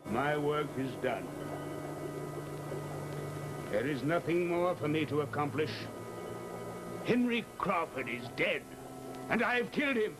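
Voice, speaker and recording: Grandiose evil magician, old radio horror, slow high-pitched menace, music behind. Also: male voice, lively intonation, low-quality recording. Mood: proud, defiant. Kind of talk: 1940s radio horror acting.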